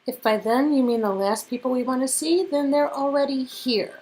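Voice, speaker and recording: A woman playing brisk, guarded female characters in an amateur read-aloud of a romance spy game, with expressive melodrama. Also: sits high, close to an everyday voice. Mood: confident.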